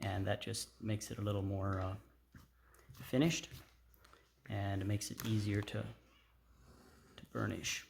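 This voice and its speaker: A middle-aged man giving a casual, unhurried hands-on tutorial in an informal, explanatory way. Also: lowish voice, level tone. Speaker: craft tutorial host. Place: indoor workshop at a workbench. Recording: clear background noise with clicks.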